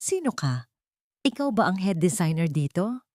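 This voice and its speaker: A woman's voice: lowish voice, big pitch swings, moderate pace, a little mellow, dry recording. A woman voicing a stern, wealthy older matriarch with a formal, commanding manner in an audio drama. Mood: imperious.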